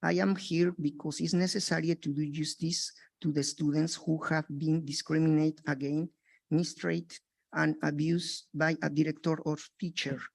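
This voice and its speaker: A man from Mexico, a native Spanish speaker with a Mexican Spanish accent, making an earnest, halting public comment in broken English. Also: fairly high pitch, level tone, creaky. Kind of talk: public comment at a board meeting.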